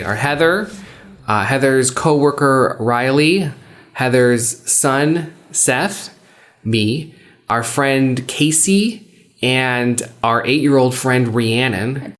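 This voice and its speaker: A man hosting a podcast in casual, unscripted chat, rambling and self-deprecating. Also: mid-range voice. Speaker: podcast host and reader.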